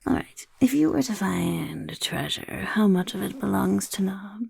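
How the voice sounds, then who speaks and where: A woman voicing a sly, self-important goblin recruiter in a soft-spoken ASMR roleplay, whispering and calling itself by name. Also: medium pitch, animated, moderate pace, dry recording, full character voice. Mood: sly, whispery. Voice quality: gravelly whisper. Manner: refers to itself in the third person, sly and skeptical. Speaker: ASMR performer.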